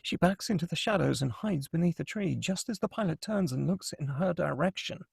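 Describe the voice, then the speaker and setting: Male narrator for a script read: slightly high, creaky, husky voice, dry close sound, dramatic stage directions. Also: animated, brisk, no echo.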